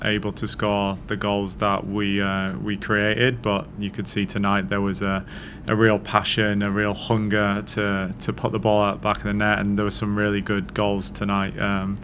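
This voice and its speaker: A man in his thirties, a head coach answering post-match questions at a press conference in a measured, thoughtful way, speaking into a desk mic. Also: on the low side, monotone, fairly bright tone, a little hiss or hum. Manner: measured, thoughtful, frequent restarts. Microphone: desk mic on stand.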